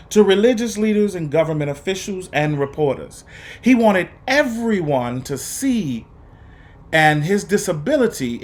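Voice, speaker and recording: A man reading a children's story aloud to students, with deliberate, emphatic phrasing and an earnest, encouraging manner. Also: high voice, darkish tone. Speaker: guest reader for students.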